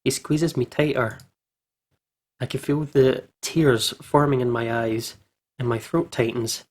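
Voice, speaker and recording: Mid-pitched male let's play host. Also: fairly even intonation, measured pace. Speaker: gaming video host. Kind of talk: let's play commentary and reading game narration aloud.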